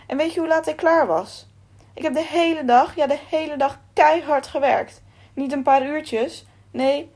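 A woman voicing a furious male laborer who rants loudly about unfair pay. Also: on the high side. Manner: loud ranting complaints.

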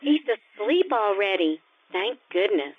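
A woman's voice: mid-range voice, very animated, unhurried. A woman playing an earnest, anxious teenage daughter in an old-time radio comedy, girlish and urgent. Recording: tinny radio-play recording, faint noise.

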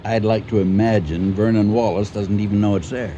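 An actor playing a dry, skeptical older farmer husband in a radio drama, wry and understated in manner. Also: male voice, fairly low pitch, steady tone, fairly quick, lightly acted. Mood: dry, suspicious. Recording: noisy, dull old radio drama recording.

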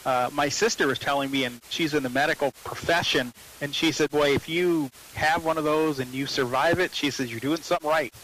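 A man's voice: on the high side, fairly quick, few pauses, fairly bright tone, poor audio. A man talking casually in a radio discussion, relating things conversationally. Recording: slight hiss.

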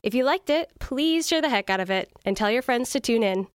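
A woman's voice: on the high side, lively intonation, brisk, clear, resonant tone, dry recording, studio quality. A woman reading scripted podcast announcements and credits as the show's announcer. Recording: music behind the voice.

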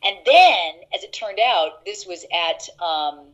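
A middle-aged actress telling stories in an interview, chatty and laughing, with many fillers and restarts. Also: female voice, animated, slow, a bit bright, lo-fi sound. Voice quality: deeper voice. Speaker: actress guest. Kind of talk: casual interview anecdotes.

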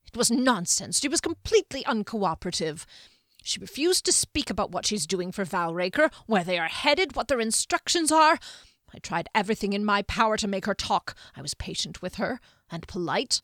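A woman's voice: medium pitch, animated, normal speed, a bit bright, no echo, clean studio sound. A woman voicing a timid male henchman who whines and panics, his voice climbing toward a shriek. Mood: defensive.

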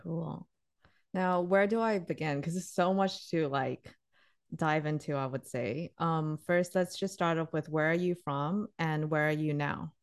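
A woman hosts an interview, asking questions in a laid-back, conversational way. Her voice is very low for a woman and sounds dry and close to the mic. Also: fairly even intonation, measured pace, no room sound. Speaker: podcast host.

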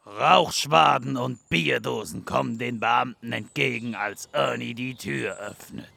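A man with a gravelly voice reading scripted narration for an audio drama. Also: mid-range voice, a little slow, bright, forceful tone, slight background noise.

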